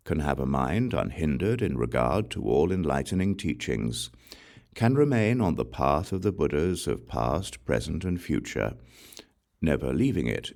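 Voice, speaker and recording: A man reading scripture as a scripted audiobook, in a measured, solemn recitation with deliberate pauses between phrases. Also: extremely low pitch, gravelly, darkish tone. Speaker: audiobook reader.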